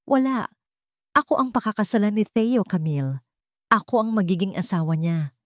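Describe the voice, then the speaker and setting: A woman's voice: medium pitch, lively intonation, moderate pace, no room sound, clean studio sound, pitched above the performer's own voice, natural voice. A woman voicing a haughty young rich fiancée in an audio romance drama, sweet on the surface with menacing emphasis. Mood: quietly venomous.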